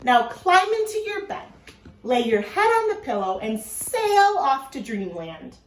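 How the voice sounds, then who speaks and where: A woman voicing a doting, excitable old granny from a children's storybook, coaxing fondly and exclaiming. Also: sits high, measured pace, echoey room, recorded from a distance, poor audio. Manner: shrieks in astonishment, coaxes fondly.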